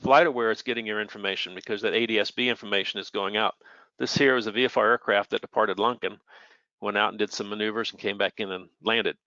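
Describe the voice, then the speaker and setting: Guy explaining technical stuff, matter of fact, kinda low bright voice, slightly muffled recording. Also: steady tone, quick pace, no room sound. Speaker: air traffic controller, guest expert. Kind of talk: informal technical explanation with slides.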